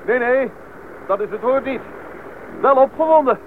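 Eager adventurer, tinny vintage recording. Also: male voice, very high-pitched, animated, normal speed. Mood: keyed up. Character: eager, devout male adventurer heading into the jungle. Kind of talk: radio play acting. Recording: tinny, band-limited old radio play, background noise.

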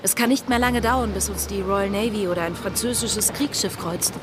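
A woman's voice: mid-range voice, normal speed, lightly acted. An actress playing a scheming, determined female pirate in a radio drama, speaking with urgent resolve. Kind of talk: German radio drama acting. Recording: music underneath.

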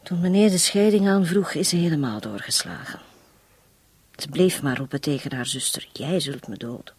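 Breathy, haunted woman confessing a crime. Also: low voice, a little mellow, no room sound. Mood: grave, bitter. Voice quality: breathy, husky. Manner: halting, confiding, intense. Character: long-suffering household woman confessing to murder, bitter yet resolute. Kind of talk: radio drama acting.

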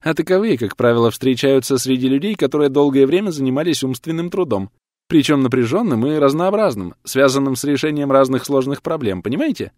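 A man voicing a young female doctor in an audiobook, polite, bashful and gently explanatory. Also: mid-range voice, animated, a little fast, no room sound, clean studio sound, pitched above the performer's own voice, lightly acted. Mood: bashful, explaining.

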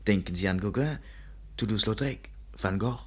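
An actor in a 1950s German radio play playing a vain, scheming young painter with grandiose, flowery speech. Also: male voice, lowish voice, normal speed, husky. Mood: smug, pompous. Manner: grandiose, flowery, self-important. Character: vain, scheming young male French painter, theatrically charming.

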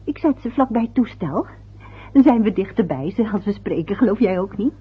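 An actress playing a hopeful, anxious mother who often speaks in a hush, in a radio play. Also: medium pitch, lively intonation, brisk, husky. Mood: coaxing. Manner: soft, often whispering, pleading. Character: anxious middle-aged mother, soothing and hopeful. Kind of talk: radio drama acting. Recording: thin phone-like old radio recording with faint hiss.

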